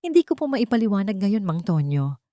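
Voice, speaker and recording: A woman's voice: medium pitch, very animated, a little fast, warm tone, no room sound, clean studio sound, lightly acted. A woman voicing a guarded young heiress in a drama story, polite and resolute. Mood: urgent, firm.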